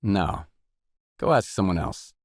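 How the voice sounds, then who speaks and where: An actor playing a weary, laconic stalker in a video game, a hardened loner with a terse, guarded, deadpan delivery. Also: male voice, low-pitched, slow pace, dry recording, studio quality, exaggerated voice. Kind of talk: isolated game dialogue lines.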